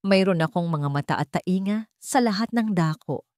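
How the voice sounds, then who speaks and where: A woman's voice: fairly low pitch, darkish tone, no echo, clean studio sound, close to an everyday voice. Woman voicing a cold, haughty villainess, taunting. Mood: smug menace. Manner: taunting, menacing, deliberate. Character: cold, haughty, venomous wealthy older villainess, mocking.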